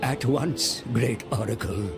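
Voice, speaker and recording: An actor playing a scheming, servile mortal advisor, narrating a fantasy game cutscene in a measured, portentous, coaxing way. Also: male voice, medium pitch, over loud music, close to an everyday voice. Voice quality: gravelly.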